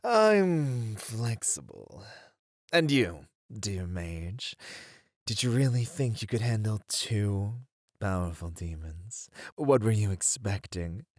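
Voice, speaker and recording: Someone playing a teasing demon for asmr rp, slow purring drawl, really swoopy pitch, mocking and flirty. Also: very animated, a little mellow, no echo, studio quality. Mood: mocking, amused. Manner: drawn-out, teasing, purring delivery. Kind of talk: ASMR roleplay acting.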